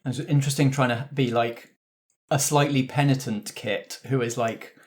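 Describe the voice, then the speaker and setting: A man's voice: mid-range voice, steady tone, normal speed, a little mellow. A man talking as a game master in a casual backstage discussion, explaining things thoughtfully. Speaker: game master of a tabletop roleplay show.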